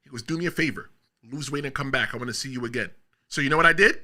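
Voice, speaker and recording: A middle-aged man hosting a wrestling podcast, telling rambling stories in a casual chat and laughing loudly. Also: mid-range voice, racing. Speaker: podcast host.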